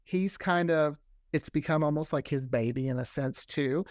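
A man with an American accent speaks as a guest in a casual interview. His voice sits a little high and is captured very dry. He is chatty and anecdotal, slipping into quoted dialogue as he explains things. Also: normal speed, no room sound. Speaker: interview guest, fiction podcast creator.

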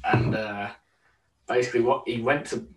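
A man's voice: medium pitch, fairly even intonation, moderate pace. A young man co-hosting a football podcast in casual, conversational sports chat. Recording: roomy, distant microphone.

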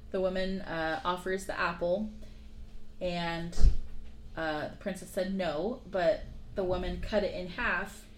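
A woman retells a dark fairy tale as a podcast host. Her delivery is informal and slangy, peppered with joking asides. The room adds noticeable echo, and faint noise sits behind her. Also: fairly low pitch.

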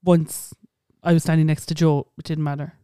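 A woman's voice: sits low, flat delivery, moderate pace, dark tone, clear, resonant tone, dry recording, studio quality. An Irish woman in her late twenties, co-hosting a casual podcast chat, chatty, with lots of "like" and swearing. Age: late 20s. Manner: chatty, swearing, says "like" a lot. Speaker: podcast co-host.

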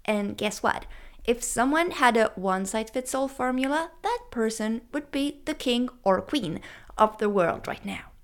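A woman's voice: fairly high pitch, lively intonation, moderate pace. A woman with a French accent, whose first language is French, hosting her own podcast in an upbeat, playful way and asking her listeners questions. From France. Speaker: podcast host. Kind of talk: scripted solo podcast talk.

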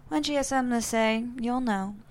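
A woman playing a kind but firm Southern wife and mother of thirty in a screenplay table read, speaking gently and soothingly with a Southern accent. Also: fairly high pitch, fairly quick, close to an everyday voice. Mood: tender, soothing. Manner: gentle, soothing, clear in purpose.

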